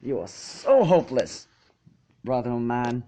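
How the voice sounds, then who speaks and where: A man's voice: mid-range voice, sing-song, a little slow, dark tone. A man voicing a blunt, teasing, affectionate female farm pony. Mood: fondly teasing. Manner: instructive, frank, folksy.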